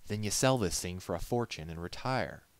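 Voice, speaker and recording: A man's voice: deep voice, lively intonation, moderate pace, smooth, dry recording, pitched above the performer's own voice. A man voicing a practical male pony puppet-maker in a storybook reading, dry, teasing and matter-of-fact.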